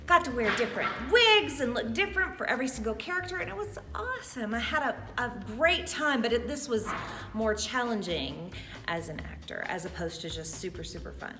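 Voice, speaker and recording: A woman's voice: a little high, lively intonation, fairly quick, music in the background. A middle-aged woman, an actress, chatting casually and reminiscing in a theatrical, jokey manner. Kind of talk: casual reminiscing about past stage roles.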